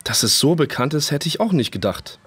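An actor playing a calm but worried father in a children's audio drama. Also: on the high side, brisk, husky, exaggerated voice. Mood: calm, concerned.

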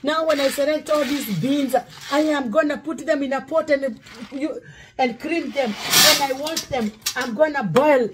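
A woman's voice: high voice, a little slow, heavy background noise. Lady pastor, preachy, sings bits, recorded on a camera across the kitchen counter. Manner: preachy, breaks into song. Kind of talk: cooking demo sermon. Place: home kitchen. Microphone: fixed camera across counter.

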